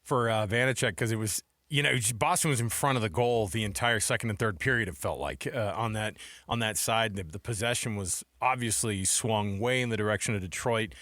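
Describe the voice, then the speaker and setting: A man hosting sports radio in a casual, rambling style, as if thinking out loud. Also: on the low side, brisk, dry recording, studio quality. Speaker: sports radio show host.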